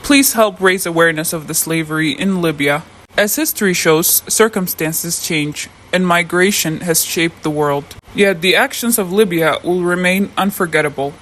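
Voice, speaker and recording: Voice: mid-range voice, moderate pace, a bit bright, slight background noise, rough recording. A narrator, a native Somali speaker, reads a scripted debunking in an indignant tone. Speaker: video essay narrator.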